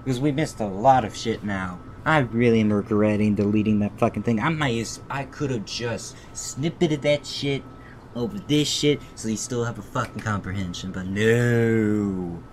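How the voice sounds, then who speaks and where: A man's voice: lowish voice, moderate pace. A man livestreaming a game, reading its story text aloud and commenting in an irritated, heavily profane way. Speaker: video game streamer. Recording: steady background noise.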